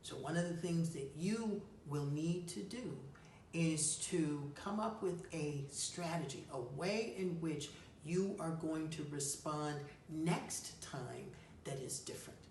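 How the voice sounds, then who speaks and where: Low, slow woman coach in echoey room. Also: darkish tone. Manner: deliberate, emphatic, coaching. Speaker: host of an advice series, life coach. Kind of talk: advice talk answering a listener's question. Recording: roomy echo, distant mic, faint noise.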